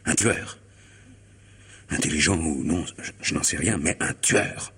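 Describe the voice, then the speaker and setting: A man acting in a French radio mystery play as a betrayed, distraught husband, sardonic and agitated while forcing himself to stay calm. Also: extremely low pitch, measured pace, gravelly, breathy edge, exaggerated voice. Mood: strained calm, ironic.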